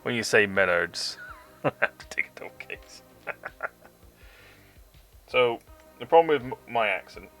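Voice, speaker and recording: Gravelly low-voiced British man. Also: animated, unhurried, long pauses, a bit bright, faint music behind. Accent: mixed British Forces English. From England. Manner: chatty, swears freely, laughs often. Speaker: streamer building model kits. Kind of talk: casual chat on a live stream.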